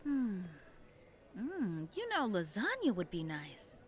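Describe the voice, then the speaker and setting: A woman's voice: mid-range voice, wildly swooping pitch, slow pace, slight background noise. A woman playing a poised, affectionate wife and mother who keeps a bookshop, with dry humour, in an audio drama. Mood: tired, affectionate.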